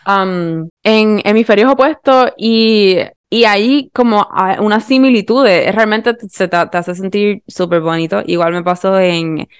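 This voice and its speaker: A woman with a Puerto Rican accent chatting casually as a podcast guest, expressive and slipping English phrases into her Spanish. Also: medium pitch, measured pace, few pauses, smooth, no echo, studio quality. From Puerto Rico. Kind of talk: casual chat, telling travel anecdotes.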